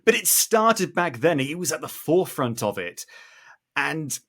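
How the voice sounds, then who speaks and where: Male podcast host, fairly high bright voice, effusive and conversational, feeling his way through thoughts. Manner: effusive, animated, halting and searching for words. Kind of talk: enthusiastic conversational interviewing.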